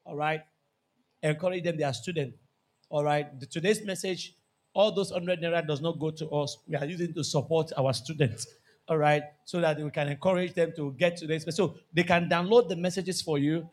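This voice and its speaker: A Nigerian man preaching a lively church sermon, repeating key phrases and joking with the congregation. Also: fairly high pitch, brisk. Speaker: pastor.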